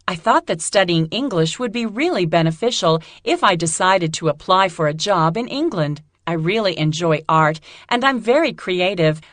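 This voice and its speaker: Woman playing friendly young female student, expressive melody, medium pitch, careful diction, dry studio-like sound. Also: dry recording. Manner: clearly enunciated, scripted. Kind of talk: scripted dialogue for an English listening test.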